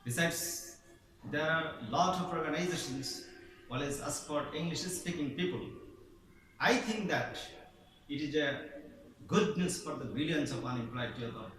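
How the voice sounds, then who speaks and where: A man's voice: on the high side, moderate pace, a bit bright, slight background noise. Bangladeshi man giving a formal speech. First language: Bengali. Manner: formal, declamatory, with emphatic rising stresses. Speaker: English teacher. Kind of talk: prepared speech. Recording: echoey room, voice from far off.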